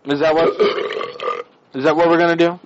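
A man's voice: fairly high pitch, level tone, a little fast, slight background noise. A man making a prank phone call on a radio show, badgering and bossy in his accusations.

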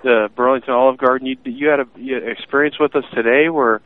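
A man's voice: mid-range voice, steady tone, fast talker. A restaurant manager answering a phone call, hesitant and apologetic, stammering as he goes. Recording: muffled phone line.

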